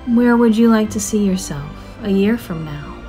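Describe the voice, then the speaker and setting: A woman narrating a scripted guided meditation in a soothing, measured, gentle manner with drawn-out phrases. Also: medium pitch, animated. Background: soft music.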